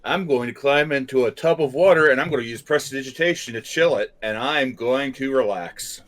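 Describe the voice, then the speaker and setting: A man's voice: mid-range voice, brisk, a bit bright. A man taking part as a player in a tabletop role-playing game, talking casually about what his character does. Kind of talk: casual role-play chat.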